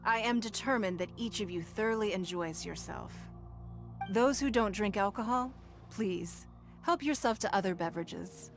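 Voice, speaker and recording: A woman performs a commanding, self-assured noblewoman for a fantasy game. The voice sits at a middle pitch, composed and eloquent, with formal, gracious phrasing. Music plays faintly behind. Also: normal speed, close to an everyday voice. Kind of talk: scripted video game character acting.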